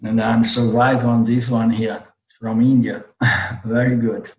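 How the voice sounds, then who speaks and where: A man gives an online philosophy lesson in an unhurried, deliberate way, with a strained voice that keeps needing his throat cleared. He circles back and repeats words as he builds each point. The sound comes through a computer mic in an echoey room. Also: mid-range voice, level tone. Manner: halting, restarts phrases, repeats words while explaining. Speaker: Vedanta teacher. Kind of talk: online class lecture. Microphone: computer mic on a video call.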